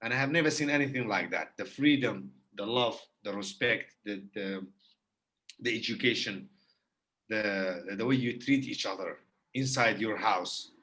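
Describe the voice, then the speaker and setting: Guy on a podcast, bright voice, earnest, keeps repeating himself for emphasis. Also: on the low side, normal speed. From Iraq. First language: Kurdish. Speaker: guest. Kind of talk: heartfelt conversation.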